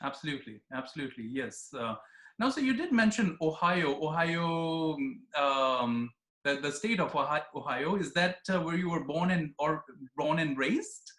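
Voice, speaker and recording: A man's voice: on the high side, lively intonation, moderate pace, a bit bright, reverberant. A man hosting an interview, asking questions and offering short encouraging affirmations. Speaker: interview host. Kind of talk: conversational interview.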